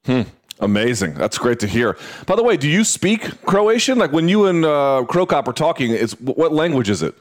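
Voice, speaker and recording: A man hosting a podcast interview, conversational and quick to exclaim in amazement. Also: fairly high pitch, big pitch swings. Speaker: podcast host.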